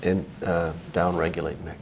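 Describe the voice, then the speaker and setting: A man's voice: low-pitched, little pitch movement, moderate pace, gravelly, slight background noise. A middle-aged man, giving expert answers in a medical panel interview. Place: indoor conference interview set.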